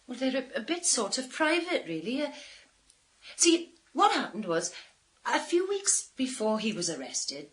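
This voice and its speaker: An actress playing a nervous, easily embarrassed young British woman in a radio thriller, speaking in a halting, self-conscious way. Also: a little high, very animated. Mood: embarrassed, flustered. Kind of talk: naturalistic radio thriller acting.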